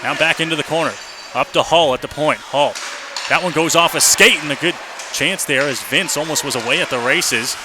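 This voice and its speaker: A male radio announcer with a fairly high voice calls a hockey game live. He describes play in short running phrases that can rise into excited shouts. The noise of the rink is clearly heard behind him. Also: moderate pace. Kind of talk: live radio sports commentary. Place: indoor hockey arena. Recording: noisy live arena broadcast. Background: loud rink ambience.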